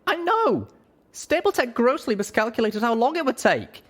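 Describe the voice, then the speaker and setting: A man voicing an earnest, tender young female pony in a small falsetto voice, for a story reading. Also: big pitch swings, moderate pace, heavily acted. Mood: insistent, pleading.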